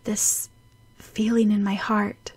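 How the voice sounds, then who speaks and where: Woman voicing an excitable, lovestruck young girl. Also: mid-range voice, slow pace, husky, no room sound. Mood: dreamy, anxious. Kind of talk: audiobook character voice.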